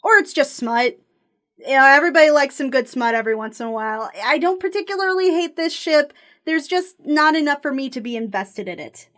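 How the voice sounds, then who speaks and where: A woman's voice: sits high, animated, brisk, fairly bright tone. A woman giving opinionated fan commentary in a conversational, self-questioning way, as the host of a video essay. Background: background music.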